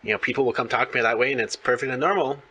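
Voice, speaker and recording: A man's voice: medium pitch, fairly even intonation, rapid-fire, pushed and bright, breathy edge. A man in his thirties hosting a casual interview in a chatty, rambling way. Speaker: interview host.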